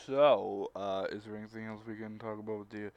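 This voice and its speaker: A man co-hosting a casual group chat, talking in a rambling, halting way. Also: on the low side, fairly even intonation, darkish tone, clean tone, no echo, lo-fi sound. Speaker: podcast co-host.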